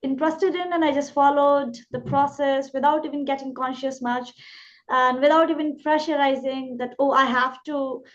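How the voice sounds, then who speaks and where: High-voiced Indian woman, eager host. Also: steady tone, moderate pace. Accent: Indian English. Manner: eager, talkative, long winding questions. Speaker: host, English trainer. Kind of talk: interview questions. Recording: dull, lo-fi line.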